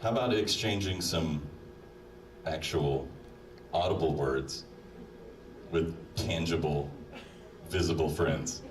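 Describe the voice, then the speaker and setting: A man's voice: rumbling low, slow pace, pauses often. A man delivering a humorous, admonishing monologue with mock-stern manner, addressing the listener directly. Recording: roomy, distant playback with crowd noise.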